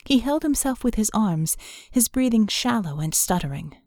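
A woman's voice: fairly high pitch, big pitch swings, moderate pace, a little mellow, no room sound, clean studio sound. A woman reading dramatic fiction as an audiobook narrator.

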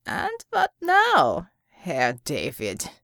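A woman voicing a theatrical, menacing male German supervillain in an audiobook, with mocking, drawn-out lines and a German accent. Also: lowish voice, huge pitch swings, vocal fry, a bit bright, dry recording, clean studio sound, voice pushed down, heavily acted. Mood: taunting.

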